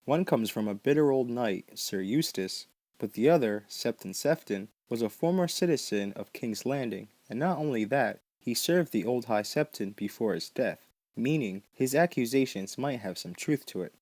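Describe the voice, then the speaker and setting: A man's voice: medium pitch, expressive, normal speed, hardly any pauses, a little mellow, no echo. A man hosting a podcast, giving scripted but conversational explanatory commentary. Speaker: podcast host.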